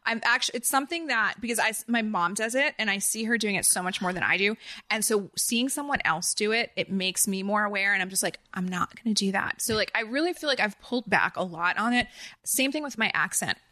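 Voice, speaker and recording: A woman's voice: mid-range voice, brisk, pushed and bright, no echo. A young woman from New Jersey talking openly as a podcast guest, with lots of likes and confiding asides. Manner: open, filler-heavy, confiding. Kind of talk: candid casual chat.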